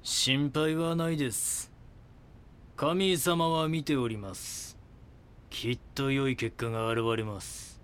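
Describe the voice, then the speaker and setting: An actor playing a self-assured cult guru villain in an audio drama, pontificating with assurance. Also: male voice, mid-range voice, slow pace, a bit bright, clear, resonant tone.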